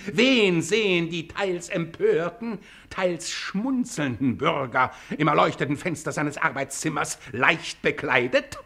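Give this to an indignant courtroom orator from a fifties radio drama. The voice sits high and swings widely, with a gravelly creak at the edges. Every phrase is pompous and accusing, drawn out for effect. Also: male voice, sharp tone, full character voice. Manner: rhetorical, declamatory, with drawn-out emphasis. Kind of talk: 1950s German radio play acting, courtroom oratory.

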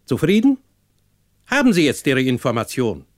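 Older, rich, imperious male patrician played by an actor, curt and formal, strongly varied pitch, vintage radio drama. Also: sing-song, normal speed, natural voice. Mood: cold, dismissive. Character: haughty, curt, wealthy older male shipyard owner. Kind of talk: 1960s German radio drama acting.

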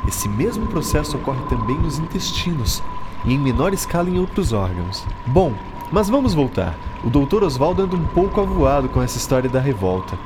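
A man's voice: mid-range voice, big pitch swings, brisk, background noise, natural voice. An actor playing an eager male physician-scientist who explains things like a storyteller, with a Brazilian accent, in an educational radio drama. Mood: guiding.

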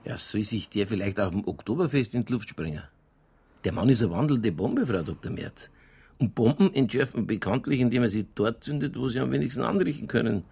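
A man playing a stubborn, sardonic homicide chief in a radio crime drama, with a Bavarian accent, a gravelly, husky voice and deadpan delivery. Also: mid-range voice, brisk.

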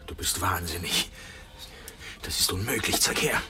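A man's voice: animated, moderate pace. An actor playing a weary, anxious middle-aged father with a wry, sarcastic streak in a radio drama. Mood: anxious, urging. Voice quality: deep, gravelly.

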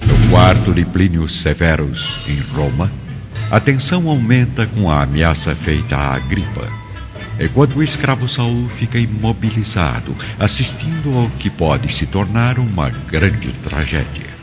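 A male narrator of a radio drama with a very deep voice and sweeping intonation. He reads dramatically over clear background music, and the sound is somewhat muffled. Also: sing-song, unhurried.

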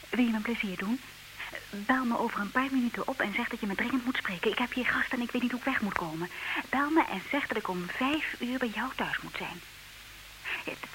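A woman's voice: on the high side, lightly acted. A woman acting in a comedic radio play as a frank, romantic, politely argumentative woman, speaking in an articulate, theatrical way. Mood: hushed, pleading. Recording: noisy, hissy old radio recording.

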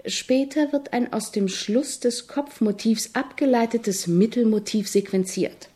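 An actress playing a persistent, highbrow woman music journalist with formal, wordy questioning in a radio play. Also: unhurried, mellow, natural voice. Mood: insistent, pedantic.

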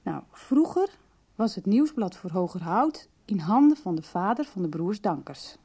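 An actress playing a calm, understanding woman who investigates and explains in a conversational Dutch radio soap. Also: medium pitch, moderate pace, breathy edge. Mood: engaged, explanatory.

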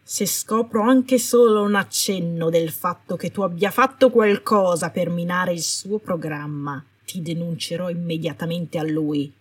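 A woman's voice: lowish voice, measured pace, few pauses, husky. A woman voicing a stern, indignant healer in authority, cold and threatening, speaking in an accusing, commanding, clipped manner. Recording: roomy, distant microphone.

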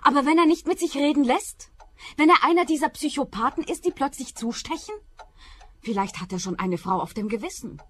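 A woman's voice: sits high, expressive, brisk, a bit bright. An actress playing a brazen, paranoid woman client in a radio play, insistent and snappy. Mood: agitated.

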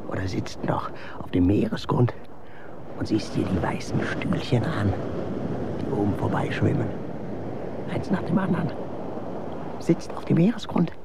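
A lone dreamer in a radio drama soliloquy, rambling impatiently to himself. His creaky, breathy voice moves very slowly. Loud wind and sea noise surround him. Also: fairly low pitch, exaggerated voice. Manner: rambling, coaxing, talking to himself. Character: lone, impatient dreamy man muttering at the sun. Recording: loud windy sea ambience.

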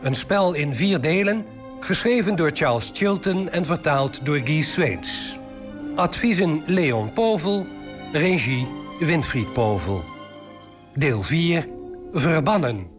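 A man's voice: on the high side, expressive, slow pace. A man announcing a radio drama in a formal, declamatory style. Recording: prominent music under the voice.

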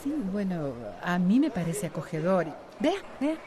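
A woman's voice: lowish voice, sing-song, moderate pace, crowd noise. An actress playing a gracious but firm woman showing off her home in a radio soap, with a Costa Rican accent. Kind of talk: radio soap opera acting.

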